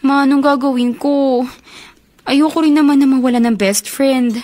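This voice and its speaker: An actress playing an earnest, soft-spoken young college woman in a radio drama, troubled over a suitor. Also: sits high, fairly even intonation, moderate pace, lightly acted. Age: young adult.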